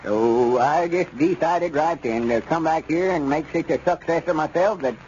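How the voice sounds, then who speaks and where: An actor playing a proud, stubborn small-town storekeeper with a rural Southern US drawl in an old radio comedy. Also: male voice, fairly high pitch, normal speed, darkish tone, natural voice. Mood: resolute, wounded pride. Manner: folksy, drawling, homespun grammar. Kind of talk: 1930s-40s radio comedy serial acting. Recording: dull, noisy vintage radio recording.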